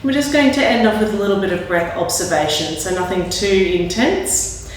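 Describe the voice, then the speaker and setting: A woman leading a yoga class with calm, gentle spoken cues. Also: medium pitch, normal speed, a little hiss or hum. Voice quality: slightly raspy when straining. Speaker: yoga instructor. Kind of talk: guided yoga class instruction. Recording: very echoey room, mic at a distance.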